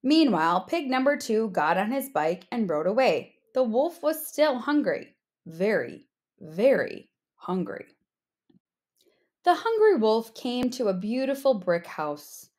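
A woman narrating a children's storybook aloud in an expressive read-aloud style. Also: a little high, slow. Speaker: storybook reader, teacher.

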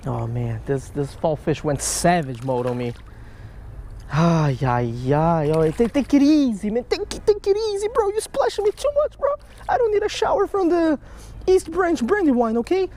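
A man giving casual, excitable running commentary while fishing outdoors at a creek, talking to the fish and his viewers, recorded on a chest-mounted action camera. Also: extremely high pitch, normal speed. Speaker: fishing vlogger. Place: outdoors by a creek under a stone bridge.

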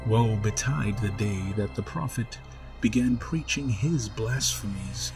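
A male narrator gives voice to a high priest whose low, smooth tone sounds kindly on the surface but carries something sinister underneath. He talks softly and coaxingly, in a measured storybook manner, with music playing clearly behind him. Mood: persuasive.

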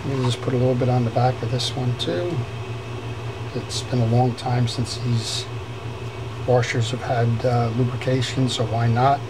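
Unhurried man explaining a reel repair. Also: mid-range voice, steady tone, noisy background. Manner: unhurried, many fillers and restarts. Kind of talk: hands-on repair tutorial. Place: workbench in a workshop.

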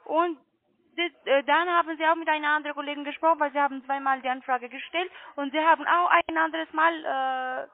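A woman's voice: high voice, steady tone, fairly quick, no echo. A non-native woman with a foreign accent, speaking politely and hesitantly as a call centre sales agent on a tinny phone line. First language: not German.

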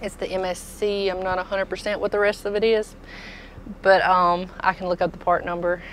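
A woman's voice: mid-range voice, little pitch movement, fairly quick, slight background noise, rough recording. A woman, casually showing products as a tool dealer inside a packed truck. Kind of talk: casual product walk-through chat.

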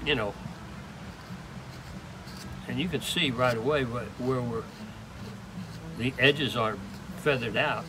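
An older man demonstrating woodworking in an open workshop, talking in a halting, rambling way full of restarts. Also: mid-range voice, background noise. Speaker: woodturner demonstrating. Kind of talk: casual hands-on demonstration.

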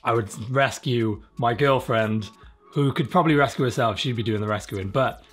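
Male interviewee, thirties, from South Wales. Informal, self-effacing, mid-pitched, with music underneath. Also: moderate pace, a bit bright. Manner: informal, self-deprecating, sweary. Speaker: interviewed illustrator. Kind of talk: casual interview chat. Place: small room, home studio indoors.

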